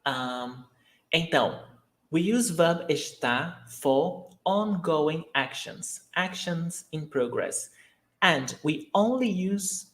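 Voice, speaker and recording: Male language teacher, unhurried and methodical, repeats his examples, recorded in an echoey room. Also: a little high, fairly bright tone. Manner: slow and deliberate, repeating each example sentence. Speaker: Portuguese teacher. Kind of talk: language lesson, mixing English and Portuguese.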